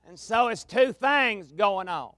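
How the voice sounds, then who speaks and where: A man with a Southern US accent preaching a revival sermon in a folksy, drawn-out cadence, with some gravel in his voice, speaking into a pulpit mic in a large tabernacle. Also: high-pitched, animated, bright, forceful tone, no room sound. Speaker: pastor, guest preacher. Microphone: amplified mic at a pulpit.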